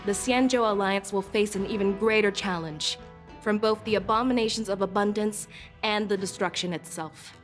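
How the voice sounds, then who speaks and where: A woman plays a resolute female military envoy from an anime game, at an average pitch. Her delivery is measured, formal and full of command, with music underneath. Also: close to an everyday voice.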